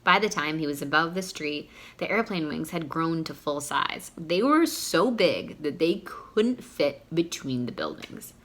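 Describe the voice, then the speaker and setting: Bright-voiced woman reading a children's story. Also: sits low, a little slow, hardly any pauses. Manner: animated, teacherly, addressing young listeners. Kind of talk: expressive children's read-aloud.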